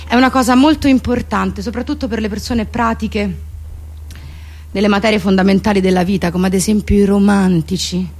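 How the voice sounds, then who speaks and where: A woman's voice: medium pitch, darkish tone. A woman delivering a theatrical comic monologue in a mock-solemn, rambling and colloquial way.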